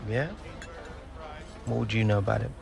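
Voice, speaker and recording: A man's voice: fairly low pitch, fairly even intonation, moderate pace, creaky. An actor playing a guarded, cocky young male footballer, casual and teasing, in a video game drama. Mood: suspicious. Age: young adult. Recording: loud music over the dialogue.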